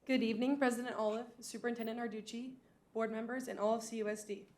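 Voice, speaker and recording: A teenage girl reads her student council report with rehearsed enthusiasm. Her voice sits a little high, and her delivery is steady and well prepared. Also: fast talker. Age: teens. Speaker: student body president. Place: school district boardroom.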